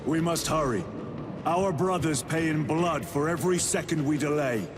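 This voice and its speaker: An actor playing a stern, battle-hardened space marine lieutenant with a formal, commanding manner in a video game cutscene. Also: male voice, a little high, moderate pace, lightly acted. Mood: grave, resolute. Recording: loud cinematic music behind.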